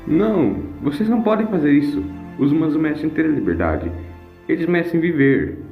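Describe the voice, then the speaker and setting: A man's voice: fairly high pitch, very animated, a little fast, gravelly, narrow, tinny audio, pitched above the performer's own voice. A man voicing an earnest young granddaughter in an audio story, pleading in a raised, urgent voice. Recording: echoing voice over music.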